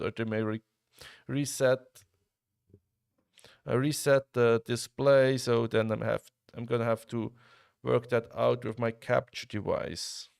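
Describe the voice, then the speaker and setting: A young man from Switzerland streams a game live and talks loosely with chat, picking up his thoughts again partway through. His voice is gravelly with a lot of fry and sits at an ordinary pitch. The sound is dry and close to the mic. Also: no echo. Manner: relaxed, chatty, talks to chat, frequent restarts. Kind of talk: casual live-stream commentary while gaming.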